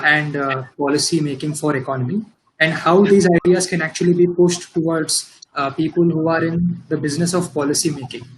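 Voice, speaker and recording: Thoughtful male host, slightly high voice. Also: noisy background. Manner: measured, thoughtful, with frequent fillers. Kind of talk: live online discussion.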